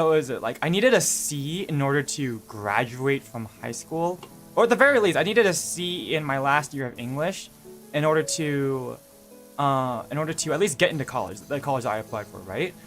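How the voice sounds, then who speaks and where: Rambling young man streamer, higher voice. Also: fairly bright tone. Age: young adult. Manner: rambling, self-correcting, full of fillers. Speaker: VTuber streamer. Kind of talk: casual rambling stream chat.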